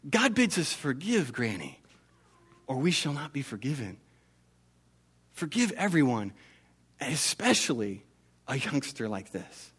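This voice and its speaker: A man voicing a kindly old shoemaker who speaks gently and quietly. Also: medium pitch, sing-song, measured pace, long pauses, breathy edge, exaggerated voice. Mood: gentle.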